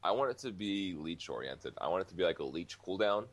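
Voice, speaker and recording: Really deep voiced guy, casual gaming podcast cohost, rambly, repeats himself a lot. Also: animated, fairly quick. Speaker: podcast co-host.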